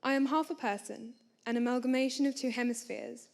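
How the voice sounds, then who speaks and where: A woman from South Africa reciting her own poem calmly at a student event. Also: a little high, a little fast, vocal fry. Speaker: student poet.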